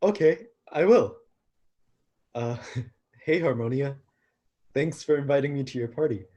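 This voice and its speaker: A man's voice: medium pitch, a little slow, mellow, highs cut off, lightly acted. A man playing an awkward, lovestruck young god, hesitant and earnest, in a staged play reading. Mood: shy, grateful.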